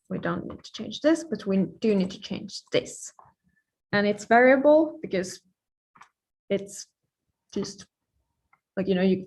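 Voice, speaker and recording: A woman who is a native Finnish speaker gives an informal live-coding lecture, thinking aloud with playful asides and pauses mid-thought. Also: medium pitch, breathy edge. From Finland.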